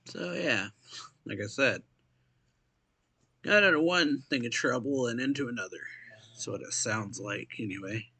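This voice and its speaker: Streamer: man with gravelly, rough voice, laid-back muttered commentary over a game. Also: mid-range voice, lively intonation, measured pace, long pauses, sharp tone. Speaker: reaction video streamer.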